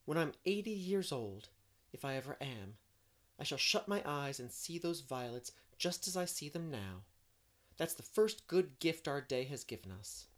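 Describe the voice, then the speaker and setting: A woman's voice: sits low, big pitch swings, normal speed, pitched above the performer's own voice. A woman voicing a dreamy, talkative young woman in an audiobook, effusive and poetic in manner. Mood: joyful, exclamatory. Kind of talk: audiobook character reading.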